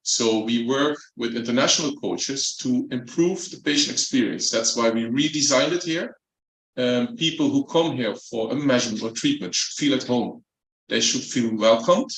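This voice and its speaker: A man with a Dutch accent speaks at a mid pitch. He talks in a relaxed, chatty way with light jokes. The sound is echoey, as in a hall. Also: normal speed. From Netherlands. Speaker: company executive. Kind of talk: formal speech at a launch event. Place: event room.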